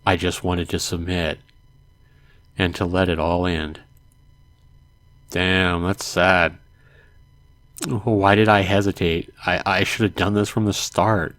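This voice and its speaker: A man hosting a let's play, reading a visual novel aloud in a dramatic way and adding hushed asides. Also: sits low, little pitch movement, slow pace, pauses often, no room sound. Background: game music.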